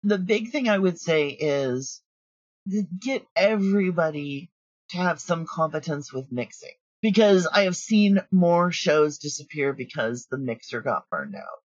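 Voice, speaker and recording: A woman's voice: deep voice, expressive, unhurried. A woman speaking casually as an interview guest, frank, practical and emphatic. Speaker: guest, audio drama creator. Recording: roomy, dull, lo-fi audio.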